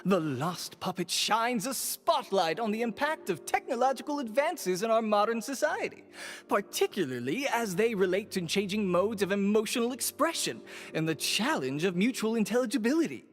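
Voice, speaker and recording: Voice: mid-range voice, natural voice. An actor voices a pompous, long-winded theater critic whose sing-song delivery rises and falls widely. He gushes in ornate phrases. Light music plays beneath. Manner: pompous, florid. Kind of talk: game voice acting. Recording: game dialogue with soft music behind.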